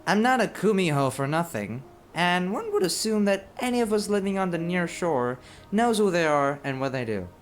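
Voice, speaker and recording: A man's voice: high voice, animated, moderate pace, few pauses, faint music behind. A man playing a polite, earnest young fox-spirit detective's partner in an audio roleplay drama, speaking carefully.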